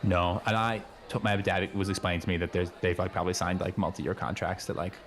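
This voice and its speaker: A man's voice: low voice, little pitch movement, fast talker, fairly bright tone, a little hiss or hum. A man playing a laid-back, chummy teenage schoolboy in an improvised radio play, rambling in casual slang. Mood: griping.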